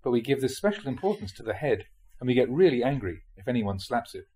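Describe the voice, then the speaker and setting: A male reader delivers a spiritual teaching in an explanatory, measured manner. His mid-pitched voice has a husky grain and moves expressively up and down as he sets out questions and explanations. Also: very animated, dark tone. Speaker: monk reading dharma talks aloud. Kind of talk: reading a spiritual talk aloud.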